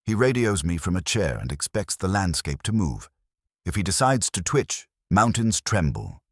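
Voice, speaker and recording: Guy doing a cocky wisecracking gumshoe, super low voice, punchy and swingy, audiobook style. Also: big pitch swings, moderate pace, no echo, clean studio sound, heavily acted. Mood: wry, brisk. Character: wisecracking, confident male private investigator's assistant.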